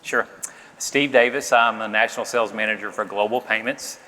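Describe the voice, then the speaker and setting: A man's voice: fairly low pitch, steady tone, fairly quick, sharp tone. A man with a Southern Arkansas accent speaking easily on a conference panel, with many fillers and a modest tone. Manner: easygoing, self-deprecating, many fillers. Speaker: panelist, sales manager. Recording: reverberant conference hall.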